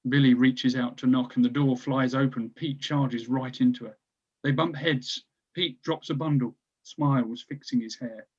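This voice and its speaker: A man's voice: medium pitch, steady tone, normal speed, breathy edge, lo-fi sound. A man reading stage directions as scripted narration for a screenplay table read.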